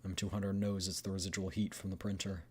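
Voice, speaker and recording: A man's voice: low-pitched, level tone, quick pace, a little mellow, breathy edge. A man streaming a game, reading the story aloud and chatting casually as he thinks aloud. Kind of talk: game readthrough with casual commentary.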